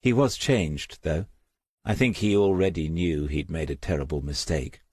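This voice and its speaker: A man's voice: rumbling low, moderate pace, darkish tone, slightly rough, no echo, studio quality. A man voicing a resolute, bitter young mother in an audiobook, frank and plain-spoken. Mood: grave, resentful. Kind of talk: audiobook character dialogue.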